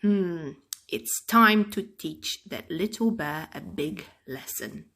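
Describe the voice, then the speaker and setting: A woman reading a children's story aloud in an expressive, dramatic storytelling style. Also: on the low side, slow pace.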